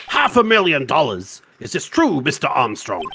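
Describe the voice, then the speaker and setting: A man voicing a stern older judge with a solemn, formal manner and gravelly voice in a comedic game dub. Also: a little high, huge pitch swings, normal speed, background noise. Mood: questioning. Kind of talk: comedic live fan dub of a video game. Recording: game music behind the voice.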